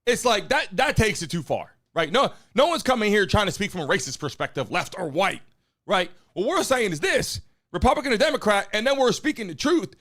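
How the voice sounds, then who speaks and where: A man hosting a live stream from his studio, talking loudly and bluntly and hyping up his chat. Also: sits high, big pitch swings, brisk. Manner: hype-man shouting at the chat. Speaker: live-stream show host. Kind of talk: loud, brash live-stream commentary. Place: home studio in Miami.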